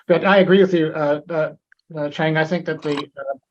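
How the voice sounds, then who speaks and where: A man hosts a medical grand rounds webinar and fields questions for the speaker. His voice sits a bit high, and he halts often with fillers and restarts. The audio is dull and muffled, like a video call. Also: fairly even intonation, moderate pace. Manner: hesitant, many fillers and restarts. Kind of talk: moderating a webinar Q&A.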